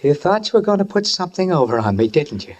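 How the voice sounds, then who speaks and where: An American man acting a menacing, unhinged young handyman in a 1940s radio drama, taunting with deliberate, childlike questions. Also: a little high, very animated, darkish tone. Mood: accusing, menacing. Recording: old radio broadcast, slightly muffled voice.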